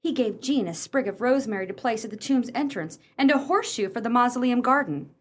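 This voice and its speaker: A woman's voice: on the high side, expressive, fast. A woman acting a sceptical, genteel lady and loyal sister in a radio play, formal and arch.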